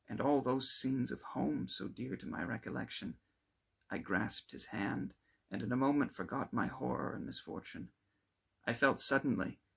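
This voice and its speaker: A man reading classic literary fiction aloud as an amateur narrator, with dramatic, deliberate phrasing. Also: mid-range voice, gravelly, a bit bright, breathy edge. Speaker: amateur audiobook reader.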